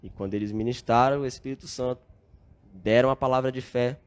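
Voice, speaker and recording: A young Brazilian man recounts his past in an earnest, reflective tone. He speaks at an ordinary pitch and often drops small filler tags as he goes. It sounds like a relaxed podcast interview. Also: brisk, clear, resonant tone. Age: young adult. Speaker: podcast guest. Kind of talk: casual storytelling interview.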